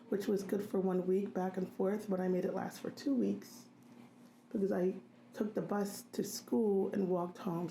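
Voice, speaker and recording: A middle-aged woman in an interview, recalling her childhood in a halting way with many pauses. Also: on the low side, vocal fry, warm tone, a little hiss or hum, rough recording. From grew up in a US city in a Haitian immigrant family. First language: Haitian Creole. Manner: halting, many ums and restarts. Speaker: interviewee, registered nurse. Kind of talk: reflective interview recollection.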